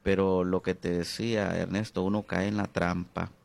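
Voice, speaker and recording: A middle-aged man with a Honduran accent, a pastor speaking as an interview guest, hesitant and reflective with many drawn-out fillers. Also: low voice, little pitch movement, gravelly, a little mellow.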